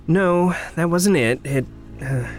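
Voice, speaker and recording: An actor playing a troubled, self-blaming mage in training, hesitant and polite, in a naturalistic audio drama. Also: male voice, fairly high pitch, slow pace, faint music behind. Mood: evasive.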